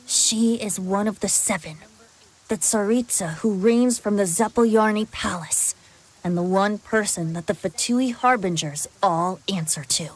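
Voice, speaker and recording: A woman voicing a composed, formal informant in a video game, with a husky, breathy voice. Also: mid-range voice, measured pace, close to an everyday voice, rough recording. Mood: solemn.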